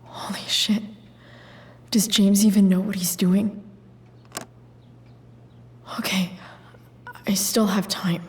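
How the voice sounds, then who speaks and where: Teen rebel girl in a video game, defensive, uneasy, blunt, kind of halting, natural acting. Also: mid-range voice, level tone, slightly rough. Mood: tense, hushed.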